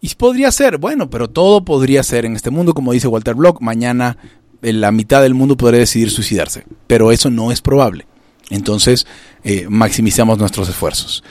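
A middle-aged man from Venezuela hosting a casual talk radio discussion, often restarting and filling pauses as he thinks. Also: mid-range voice, lively intonation, darkish tone, no echo. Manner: halting, many restarts and fillers. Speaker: radio show host.

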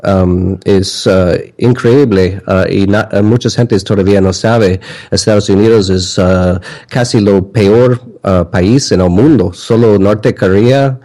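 A man answering interview questions in halting speech, stopping often with "ah" as he searches for words. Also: lowish voice, mellow. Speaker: interview guest.

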